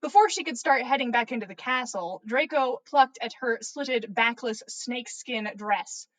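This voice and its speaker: A woman's voice: fairly high pitch, normal speed, rough recording. A woman reading fan fiction aloud on a livestream in a playful, theatrical way. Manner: theatrical, playful, stumbles over words and swears. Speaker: podcast host reading listener fan fiction. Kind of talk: animated story reading on a livestream.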